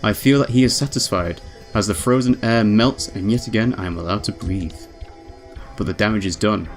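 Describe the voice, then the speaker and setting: A man's voice: on the low side, normal speed, natural voice. A man voicing a proud young witch who narrates her tale in a grave, formal, ornate way. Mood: weary, grave. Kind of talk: dramatic visual novel narration. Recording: music underneath.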